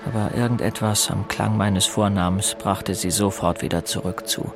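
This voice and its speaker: A brooding male narrator played by an actor looks back on boyhood in scripted first-person narration. His voice is low, with clear music behind it. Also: fairly even intonation, moderate pace, natural voice. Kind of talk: scripted narration in an audio drama.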